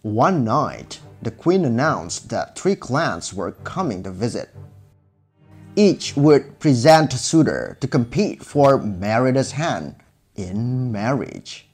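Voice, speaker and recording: A man narrating a scripted children's fairy tale in a measured, expressive storybook style. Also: medium pitch. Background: music.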